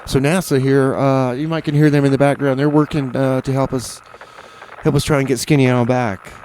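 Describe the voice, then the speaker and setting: A man hosting a community radio show, chatting loosely and haltingly between songs from a studio mic. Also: mid-range voice, steady tone, darkish tone, slight background noise, poor audio. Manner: halting, full of uh and repeated starts. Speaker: radio DJ and host. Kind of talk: rambling casual radio chat.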